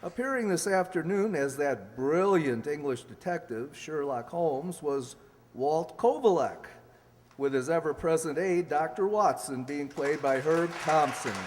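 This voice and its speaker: An actor playing a genial host and announcer of an old-time sponsored radio show, formal yet chummy. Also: male voice, on the high side, lively intonation, a little slow. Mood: genial, showy. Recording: echoey hall, faint noise.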